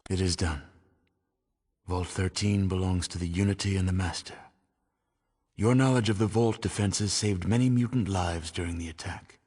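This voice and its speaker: An actor playing a solemn, fatalistic narrator of a ruined world in a video game, measured and ominous. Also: male voice, deep voice, darkish tone, breathy edge. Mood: somber, fatalistic. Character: grave, fatalistic male storyteller of a ruined world.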